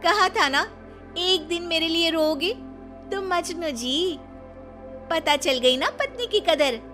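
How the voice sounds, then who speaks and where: A woman voicing a jealous, sharp-tongued young wife in a cartoon story, scolding and sarcastic. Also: very high-pitched, lively intonation, slow, voice pushed up, exaggerated voice. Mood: smug. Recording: faint music behind.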